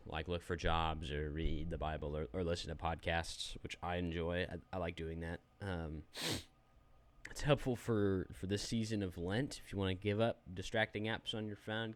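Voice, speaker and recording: Deep-voiced man rambling through an app review. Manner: rambling, self-deprecating, repeats himself. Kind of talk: unedited casual screen-share review.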